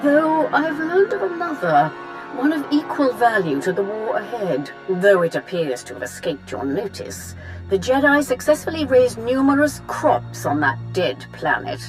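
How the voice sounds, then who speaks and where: An actress playing a regal, calculating Empress in a video game, formal and imperious in manner. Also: female voice, a little high, very animated, measured pace, faint music behind, poor audio. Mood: cool, critical. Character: regal, authoritative Sith Empress, composed and calculating. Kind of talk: dramatic video game acting.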